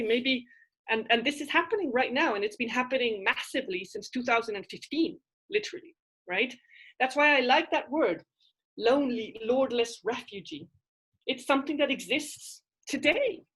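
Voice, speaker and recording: A middle-aged woman teaching a university literature class from home, speaking through a headset on a video call, animated and often asking "right?". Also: fairly high pitch, breathy edge, rough recording. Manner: animated, gestures while explaining, asks "right?" often. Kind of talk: online class discussion. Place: home room with bookshelves.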